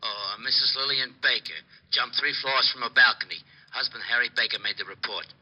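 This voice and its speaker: Guy doing a slow dutiful desk sergeant reading a report, dull old radio sound. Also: mid-range voice, lightly acted. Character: dim-witted male police sergeant. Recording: muffled old radio broadcast.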